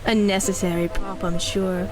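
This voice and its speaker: A woman's voice: medium pitch, flat delivery, normal speed, natural voice, low-quality recording. An actress playing a cynical, ruthless female mercenary in a 1930s-style radio drama, speaking with arch, mocking condescension. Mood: smug, teasing.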